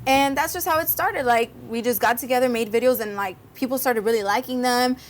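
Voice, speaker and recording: A woman's voice: fairly high pitch, fairly quick. A young woman from Cuba, a guest co-host, chatting casually and animatedly, often laughing. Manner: animated, laughs often, acts out voices in stories. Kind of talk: casual interview chat.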